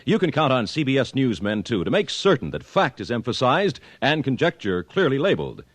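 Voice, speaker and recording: A man's voice: medium pitch, huge pitch swings, a little fast, dry recording. An American man reading scripted copy as a polished, formal 1950s radio announcer.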